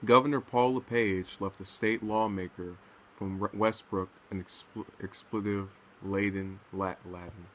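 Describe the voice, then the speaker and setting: A man's voice: on the low side, steady tone, measured pace, a bit bright. A man casually reading and commenting on news, stumbling over his words now and then.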